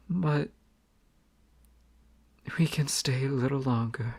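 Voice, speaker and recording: A man voicing a shy young crown prince in a romantic audio roleplay, speaking softly and intimately, often near a whisper. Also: medium pitch, husky, exaggerated voice.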